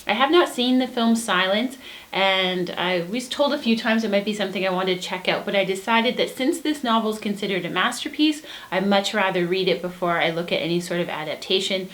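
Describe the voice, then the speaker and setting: A woman's voice: medium pitch, brisk, fairly bright tone. A woman co-hosting a book review, chatting casually and earnestly with emphatic enthusiasm. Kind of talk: casual book recommendation chat.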